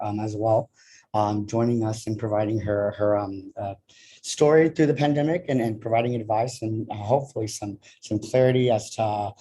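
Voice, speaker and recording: A young man moderates an online panel, pausing often and restarting his sentences. His voice has a mellow, dark tone. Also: fairly low pitch, rough recording. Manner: halting, full of ums and restarts.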